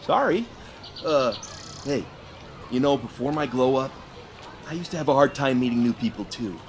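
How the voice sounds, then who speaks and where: A man's voice: mid-range voice, expressive, moderate pace, slight background noise, close to an everyday voice. An actor playing a friendly, goofy young male summer camp counselor, laid-back and slangy, in an audio drama. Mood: encouraging, sheepish. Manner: slangy, easygoing, hesitant with ums.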